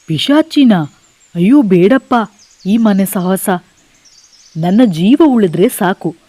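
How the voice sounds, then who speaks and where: A sly male crow from a children's cartoon bedtime story, acted out by a woman. The voice swings widely in pitch and has a mellow, dry sound. He schemes and wheedles with exaggerated delivery. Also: lively intonation, slow pace, no room sound. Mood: frightened. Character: scheming male crow after the myna's house.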